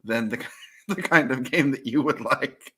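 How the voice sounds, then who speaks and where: A man's voice: mid-range voice, normal speed, fairly bright tone, breathy edge. A man with an American accent co-hosting a casual board game chat in a wry manner. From United States. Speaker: co-host.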